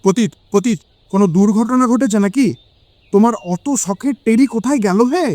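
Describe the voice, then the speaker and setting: A man playing a lively, teasing detective in an audio drama, speaking in exclamations. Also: sits high, moderate pace, no room sound, close to an everyday voice. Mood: teasing concern. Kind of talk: Bengali audio story dramatic acting.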